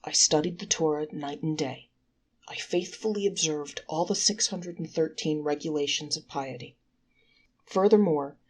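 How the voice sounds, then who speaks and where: A woman voicing a confident, self-righteous pious man in a folktale, smug and measured. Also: deep voice, darkish tone, slightly rough.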